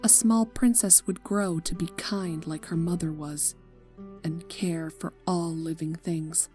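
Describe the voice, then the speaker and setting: A woman reads a tragic story aloud with a somber, hushed delivery in a fairly low voice. Her lines often fade into near-whispers. Soft guitar plays faintly behind her. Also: fairly even intonation, takes it slowly. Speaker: fanfic reader. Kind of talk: scripted narration of a tragic story. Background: soft guitar music.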